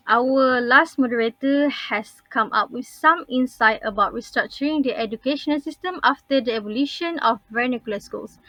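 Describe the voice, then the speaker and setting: A woman's voice: on the high side, a bit bright, no echo. A young Malaysian woman moderating a forum, with formal, polite handovers between speakers. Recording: dull lo-fi recording.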